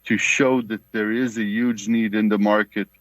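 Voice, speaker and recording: A man with a heavy Israeli accent giving formal testimony over a video call, explaining things in a halting way. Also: on the low side, level tone, dry recording, poor audio. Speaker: company CEO giving testimony. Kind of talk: formal testimony at a remote hearing.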